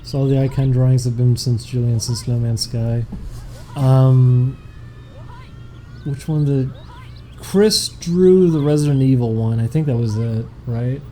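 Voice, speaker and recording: A young man chatting casually on a game stream, reading out donation messages in a relaxed, meandering way. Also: medium pitch, unhurried, a little hiss or hum.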